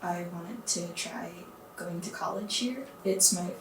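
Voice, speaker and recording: A woman's voice: lowish voice, measured pace. A woman from Hawaii answering interview questions in a thoughtful, easygoing way. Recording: distant mic in echoey room with background noise.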